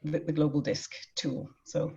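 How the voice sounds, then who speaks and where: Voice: mid-range voice, fairly even intonation, moderate pace, mellow. A webinar host introducing the session and the first speaker, talking hesitantly with frequent ums and restarts over a video call. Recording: dull, muffled webinar audio.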